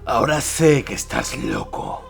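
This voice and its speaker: A man's voice: mid-range voice, huge pitch swings, measured pace, creaky, soft background music, exaggerated voice. A man voicing a battle-worn mercenary in an audio war drama, spitting out mocking challenges with scorn. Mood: scornful.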